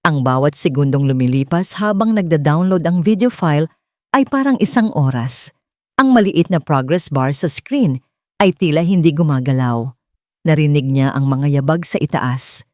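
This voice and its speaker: A woman's voice: low voice, animated, moderate pace, darkish tone, no room sound, clean studio sound. A woman narrating a scripted dramatic story in a suspenseful way, with short emphatic phrases.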